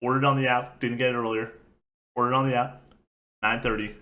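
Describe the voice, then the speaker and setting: A man's voice: medium pitch, level tone, a little fast, sharp tone. Rambling young guy telling a story. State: recovering from being sick. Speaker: podcast co-host. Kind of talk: casual gaming chat.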